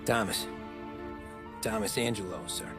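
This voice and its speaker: A man's voice: on the low side, steady tone, measured pace, natural voice. An actor with an American accent playing a respectful, determined working man in a crime game. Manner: respectful, restrained. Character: polite, determined working-class male cab driver. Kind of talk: cinematic crime game acting. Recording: music playing behind the voice.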